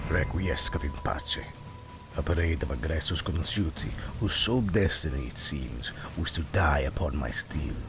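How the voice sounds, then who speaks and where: A man playing a seasoned, proud and loyal Italian assassin in an audio drama, measured, dropping Italian words into his talk. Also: rumbling low, heavy background noise. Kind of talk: scripted audio drama dialogue. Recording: music behind the voice.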